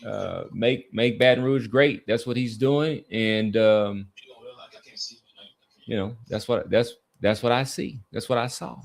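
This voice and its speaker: A man's voice: medium pitch, slow, gravelly. A man hosting a casual sports talk podcast, speaking emphatically and often repeating his phrases for weight.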